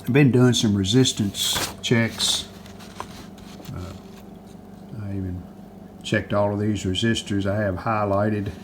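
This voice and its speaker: A man with a fairly low voice talks through a hands-on repair in a casual way. He is very slow and unhurried, thinking aloud as he goes. There is clear noise behind his voice. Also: steady tone. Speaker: hobbyist restorer presenting a repair video.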